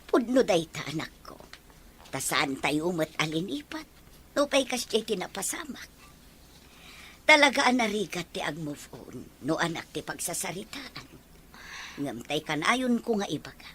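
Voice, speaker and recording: A woman playing an older Ilocano grandmother in a family audio drama, gently advising and nagging her household. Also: fairly low pitch, big pitch swings, normal speed, slight background noise. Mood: consoling, tender. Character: older Ilocano grandmother, consoling mother, chiding wife.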